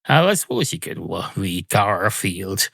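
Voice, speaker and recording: A man's voice: sits low, very animated, unhurried, vocal fry, fairly bright tone, breathy edge, dry recording, clean studio sound, voice pushed down, heavily acted. A man voicing a blunt, argumentative, exasperated barman in a comic podcast monologue. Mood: weary. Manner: blunt, sweary, dismissive.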